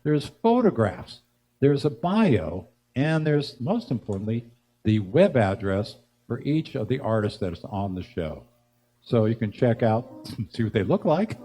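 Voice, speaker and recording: A man hosting a live music show, chatting casually and haltingly as he interviews guests, with chuckles between phrases. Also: mid-range voice, sing-song, mellow, slightly rough.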